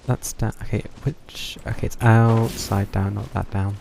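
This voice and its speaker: A man's voice: lowish voice, little pitch movement, unhurried, vocal fry, a little mellow, a little hiss or hum. A man giving casual gameplay commentary on a livestream, muttering hesitantly as he thinks aloud, with game music behind him. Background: video game music.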